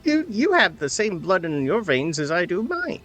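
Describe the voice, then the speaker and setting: Man voicing an ancient, cryptic female spirit, lively pitch. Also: soft background music, heavily acted. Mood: intense, probing. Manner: measured, archaic, formal phrasing. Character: ancient dead woman's spirit Morgan, cryptic, regal, possessing a body.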